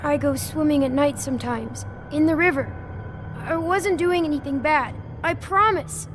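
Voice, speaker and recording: Timid, high-voiced little boy played by a woman. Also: moderate pace, background noise, lightly acted. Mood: anxious, defensive. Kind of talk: naturalistic video game acting.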